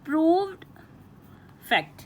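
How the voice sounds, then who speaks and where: A woman teacher giving an informal lecture, explaining and reading out definitions in a halting, repetitive way with frequent check-in tags. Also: very high-pitched, sing-song, slight background noise.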